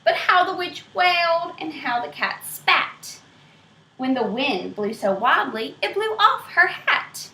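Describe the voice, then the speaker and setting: A woman reading a picture book aloud to children in an expressive way, stopping to ask them questions. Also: sits high. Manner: expressive, pausing to quiz young listeners. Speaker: storybook reader. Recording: roomy echo.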